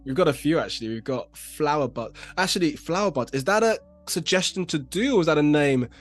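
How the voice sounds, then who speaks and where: A man's voice: on the high side, lively intonation, brisk, faint music behind. A young man hosting a live design stream over a video call, chatty and full of fillers. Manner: chatty, lots of fillers and back-channel "nice"s. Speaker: livestream host. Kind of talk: casual livestream chat.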